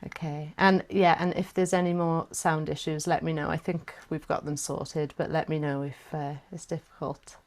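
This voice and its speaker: A woman's voice: low-pitched, little pitch movement, moderate pace, few pauses. A woman from South Wales with a South Welsh accent, chatting and laughing often as she leads a live online chant workshop over a video call.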